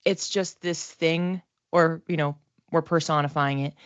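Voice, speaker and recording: A woman giving a casual, informal tarot reading as a psychic, reflective and halting, often giggly as she talks. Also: sits low, steady tone, clean tone, dry recording, dull audio.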